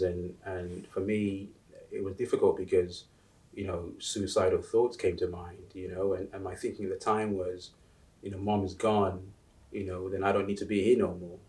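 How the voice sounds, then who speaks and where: Guy in his 40s from uganda, low voice, lots of um and restarts, sits back from the mic. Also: little pitch movement, darkish tone, far from the mic, low-quality recording. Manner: halting, many restarts and fillers. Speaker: interview guest. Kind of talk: casual interview talk.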